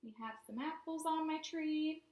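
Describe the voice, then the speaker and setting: A woman hosting a storytime for young children, speaking in simple explanatory phrases with an animated, sing-song delivery. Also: high voice, moderate pace. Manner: animated, exaggerated questions and exclamations for young children. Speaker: children's librarian and storytime host.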